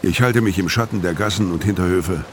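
An actor playing a weary, haunted older ex-convict, narrating a radio crime drama in a brooding, measured way. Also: male voice, deep voice, a little hiss or hum, natural voice. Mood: tense. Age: 50s. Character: weary, haunted older male ex-convict telling his story.

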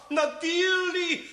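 Chuckling Bavarian old carpenter. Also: male voice, very high voice, sharp tone, heavily acted. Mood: amused. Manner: chuckling, hearty, drawn-out exclamations. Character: kindly older male master carpenter, good-natured. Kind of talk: 1960s German radio play acting.

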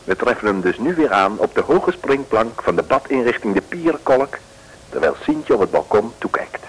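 A man's voice: mid-range voice, sing-song, moderate pace, slightly rough, rough recording. A man narrating an old radio play in a wry, chatty way.

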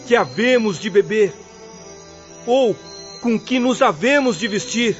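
An actor playing a humble, good-humored and devout poor farmer in a radio drama, speaking earnestly. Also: male voice, high voice. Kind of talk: Brazilian radio drama acting. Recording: dull lo-fi audio.

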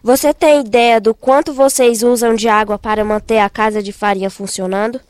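Young female reporter, student, Cearense accent, higher voice, scripted interview delivery, dry sound. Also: steady tone, brisk, a little mellow, clear, resonant tone, no echo. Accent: Northeastern Brazilian, Ceará. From Ceará, Brazil. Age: child or young student. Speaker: radio reporter.

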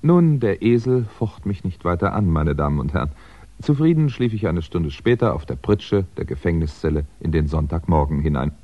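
A man's voice: low voice, expressive, normal speed. An actor playing a wry, eloquent crime writer on the run who tells his own story in a 1960s radio drama. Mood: wry, composed. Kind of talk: first-person narration and dialogue in 1960s German radio crime drama. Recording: dull, roomy 1960s radio recording.

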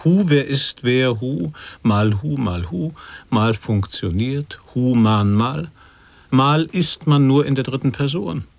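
A man's voice: medium pitch, lively intonation, gravelly, no room sound, heavily acted. An actor playing a solemn man who pronounces nonsensical definitions as if they were logic, in an experimental radio drama. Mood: deliberate. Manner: deliberate, pseudo-logical pronouncing.

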